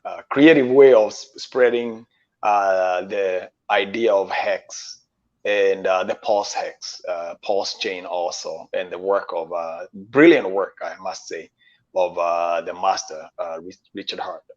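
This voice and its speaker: Measured man in his fifties. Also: sits low, steady tone. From Kenya. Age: 50s. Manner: measured, halting, with frequent "uh" pauses. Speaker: guest chef. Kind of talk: storytelling in a stream interview. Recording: dull lo-fi stream audio.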